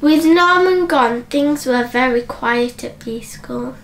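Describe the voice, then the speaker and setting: High-pitched, very slow woman storyteller. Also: fairly bright tone, a little hiss or hum. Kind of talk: children's bedtime story narration.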